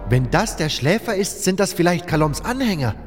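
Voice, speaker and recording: Earnest, higher-voiced scholar mage, voiced by man. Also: big pitch swings, normal speed. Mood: awestruck. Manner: pensive, hesitant, marvelling. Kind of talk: fantasy audio drama acting. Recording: hall-like reverb, music underneath.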